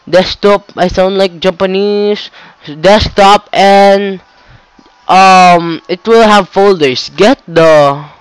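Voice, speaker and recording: Slow-talking teen boy with a cold. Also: sits high, no echo, poor audio. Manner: rambling, self-correcting, swears casually. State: has a cold. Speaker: tutorial maker. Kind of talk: casual screen-recorded tutorial. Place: indoor room at a computer.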